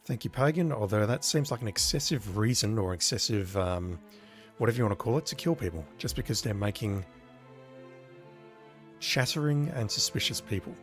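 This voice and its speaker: A man with a croaky voice from illness, chatting casually as he streams a game. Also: on the low side, animated, normal speed, faint music behind. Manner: rambling, chatty, apologetic. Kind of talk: casual gameplay commentary.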